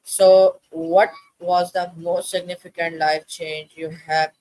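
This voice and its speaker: A man whose first language is Urdu, hosting an interview and asking questions in slow, simple phrasing. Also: on the high side, level tone, a little mellow, no room sound, rough recording. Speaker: interview host.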